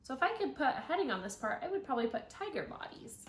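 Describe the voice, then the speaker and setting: Female primary teacher, higher-pitched voice, instructional read-aloud for young children with thinking-aloud asides, roomy echoing sound. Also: sing-song, fast. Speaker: second grade teacher reading aloud. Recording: roomy echo, audible background noise.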